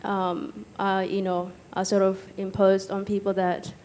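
A young woman from Egypt speaking hesitantly as a panellist on stage, pausing often, into a handheld microphone. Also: medium pitch, completely flat delivery, darkish tone, slight background noise. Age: young adult. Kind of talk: personal account in a panel discussion. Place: stage in an auditorium with audience.

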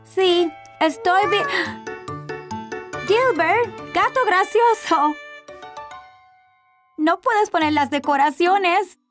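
A woman voicing a cheerful, eager little boy of about four in a children's cartoon, childlike and excitable. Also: extremely high pitch, extremely slow, pauses often, music in the background, exaggerated voice. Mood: calm, happy.

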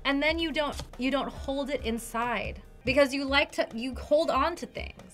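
Bright, higher-pitched middle-aged woman. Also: normal speed, soft background music. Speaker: tarot card reader. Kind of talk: casual spoken tarot reading. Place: dark indoor studio, seated at a table.

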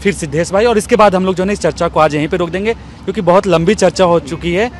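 A male interviewer speaks in a high-pitched voice into a handheld mic. His questions are reflective and wander a little as he searches for words. Faint outdoor noise sits behind him. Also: fast. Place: outdoors in an open courtyard. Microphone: handheld small microphone.

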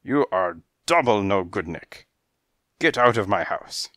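A man's voice: fairly low pitch, big pitch swings, no room sound, studio quality, heavily acted. A man voicing a theatrical, touchy female cat fortune-teller who speaks broken English with grand drama. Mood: indignant. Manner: broken English, dramatic, quick to take offence. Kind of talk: storybook character voice.

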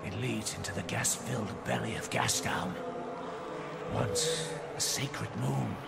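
A man's voice: fairly high pitch, gravelly, exaggerated voice. An actor playing a grandiose male cult preacher who leads a fire-worshipping flock, in a video game cutscene. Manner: grandiose, preaching, sweeping swings between loud and hushed. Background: loud score music.

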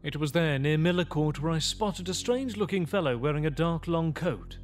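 A man's voice: on the high side, moderate pace, soft background music, lightly acted. A man playing a frightened young man who recounts his horror in theatrical, gothic first-person narration. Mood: grave, haunted. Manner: grave, theatrical storytelling.

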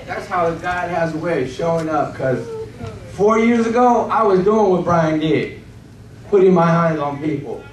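A man giving a candid, earnest personal testimony to a congregation, speaking haltingly. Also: fairly high pitch, animated. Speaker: program member giving testimony. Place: church hall. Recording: echoey room from far off with noise.

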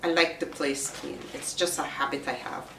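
A middle-aged woman with a slightly raspy voice from a recent virus, chatting casually as she shows what she carries to work. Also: low-pitched, fairly even intonation. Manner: chatty, unhurried, explains each item. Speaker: vlogger. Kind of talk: casual show-and-tell vlog. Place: small room indoors. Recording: roomy, distant camera sound with faint hiss.

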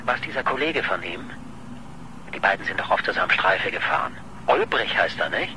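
Radio crime drama: needling, insistent reporter, very bright and cutting, faint noise behind. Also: male voice, sits low, animated, moderate pace, heavily acted, low-quality recording. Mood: probing, sardonic. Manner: insistent, teasing, speculative. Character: pushy, sardonic male reporter chasing a murder story.

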